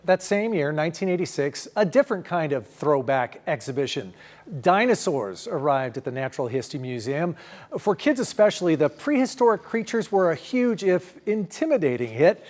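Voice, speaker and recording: A man's voice: on the high side, big pitch swings, moderate pace, a little mellow. A middle-aged American man presenting scripted news as a studio anchor.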